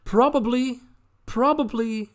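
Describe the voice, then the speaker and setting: A man with a Dutch accent chatting casually as a streamer, answering viewer questions in a halting way, often repeating words as they think aloud. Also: very high-pitched. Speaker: streamer, anime content creator.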